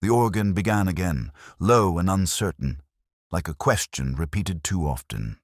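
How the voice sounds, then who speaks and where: A man reads a first-person detective story in an exceptionally deep voice. His delivery is measured and brooding, with plenty of rise and fall in the intonation. The sound is dry, and the style is literary and scripted. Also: lively intonation, dry recording. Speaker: narrator.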